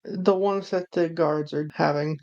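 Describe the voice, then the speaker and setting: A woman's voice: deep voice, fairly even intonation, a little slow, darkish tone. Blunt woman on a dull lo-fi mic. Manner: slangy, blunt. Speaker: tabletop game player. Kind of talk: casual game chat.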